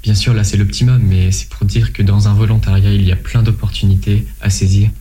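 A young man from France with a low, very dark and mellow voice. He answers questions in a measured way, hesitating and restarting now and then. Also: flat delivery. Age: 20s. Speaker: interview guest, volunteer.

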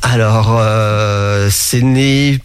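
A man with a fairly low voice talks in a relaxed interview. He stops often with fillers and restarts, and he explains things at length. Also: drawn-out words, smooth. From France. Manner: hesitant, many fillers and restarts. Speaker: interviewee, sommelier and museum founder. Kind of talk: casual interview talk.

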